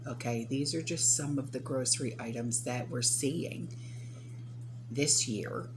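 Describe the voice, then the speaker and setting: Female vlog host, very deep and slow, chatty with tag questions; humming background. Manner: chatty, keeps checking in with "okay?" and "right?". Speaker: prepping channel host. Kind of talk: casual talk to viewers. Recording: steady hum behind the voice.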